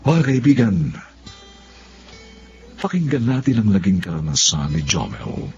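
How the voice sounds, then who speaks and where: A man's voice: lowish voice, sing-song, a little slow, a little mellow. A man hosting a radio drama with theatrical, solemn scripted narration. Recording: dull lo-fi broadcast with music. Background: clear music.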